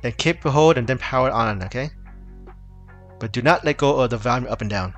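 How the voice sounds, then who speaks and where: A man's voice: mid-range voice, fairly quick, faint music behind. A man casually walking viewers through a phone repair tutorial, loose and rambling with frequent restarts. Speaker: tech tutorial host. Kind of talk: casual screen-recorded tutorial.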